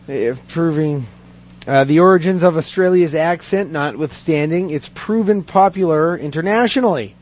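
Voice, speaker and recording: A man hosting a casual talk podcast, chatting loosely and reading news items aloud. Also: fairly high pitch. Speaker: podcast host. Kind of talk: casual chat. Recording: dull lo-fi audio.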